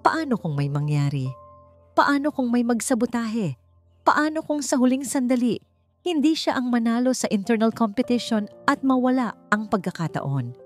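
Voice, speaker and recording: A woman's voice: a little high, animated, normal speed, darkish tone, voice pushed up, natural voice. Woman voicing an anxious, controlling company boss. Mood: anxious, guarded. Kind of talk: acted dialogue in narrated romance story. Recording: music under the voice.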